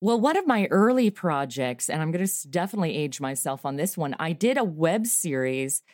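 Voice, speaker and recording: A middle-aged woman talks casually as an interview guest. Her voice sits on the low side. The sound is dry and close, and she rambles in an informal, self-deprecating way. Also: sing-song, a little fast, darkish tone, no room sound. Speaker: podcast creator being interviewed.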